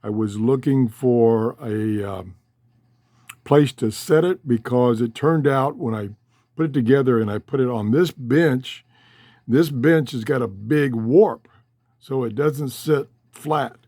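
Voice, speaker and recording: A man's voice: mid-range voice, slow pace, dark tone, no room sound. Older guy rambling on his hobby vlog, takes his time, folksy, pretty dry sound off a phone. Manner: rambling, self-interrupting, folksy. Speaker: host of a shortwave radio hobby channel. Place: cluttered room downstairs at home. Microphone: handheld cell phone.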